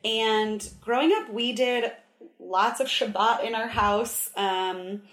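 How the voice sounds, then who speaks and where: A playful, chatty young woman from Southern California, talking as a guest on an interview show. Her voice sits a touch high, and the room around her is echoey. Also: slow, a bit bright. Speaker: guest, Hillel director of engagement. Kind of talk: casual interview.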